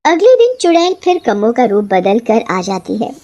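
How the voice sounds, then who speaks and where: A woman storyteller reading scripted narration for an animated horror tale. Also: fairly high pitch, moderate pace, highs cut off, a crowd in the background.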